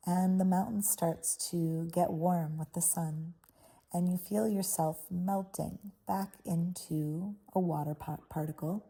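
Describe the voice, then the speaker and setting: Very mellow, dark-voiced woman guiding meditation. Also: on the low side, vocal fry, husky. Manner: soothing, unhurried phrasing with pauses between short phrases. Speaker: guided meditation host. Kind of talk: guided meditation, soft guided visualization.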